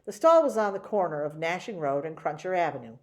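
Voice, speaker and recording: A woman reading a children's picture book aloud in an expressive storytelling way. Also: deep voice, a little fast. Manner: expressive, animated.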